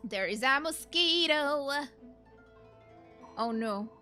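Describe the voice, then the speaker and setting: A young woman giving casual, rambling and expressive commentary as she plays a video game. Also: high-pitched, takes it slowly, soft background music. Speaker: gaming streamer. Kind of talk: casual let's play commentary.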